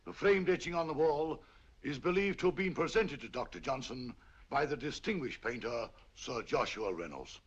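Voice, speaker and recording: An actor playing a pompous, chatty museum tour guide in 1940s film style, theatrical and sing-song. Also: male voice, mid-range voice, lively intonation, moderate pace, husky. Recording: dull lo-fi 1940s film soundtrack with faint room noise.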